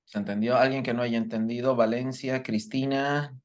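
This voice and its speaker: A man teaches a live workshop. His voice sits at a middle pitch, and he works through each idea step by step. He stops often to ask his students whether they have understood. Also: fairly even intonation, normal speed, a little mellow, a little hiss or hum. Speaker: medical lecturer. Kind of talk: live online workshop lecture.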